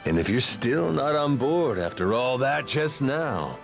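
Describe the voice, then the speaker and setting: An actor voicing a brash, playful and encouraging pirate captain in a video game, with theatrical delivery. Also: male voice, medium pitch, very animated, measured pace, gravelly, faint music behind. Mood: brash, insistent.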